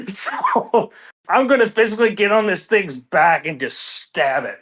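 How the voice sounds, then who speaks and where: A woman's voice: mid-range voice, sing-song, takes it slowly, a bit bright, breathy edge. A woman chatting casually as a player in a tabletop roleplaying game. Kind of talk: casual chat while roleplaying.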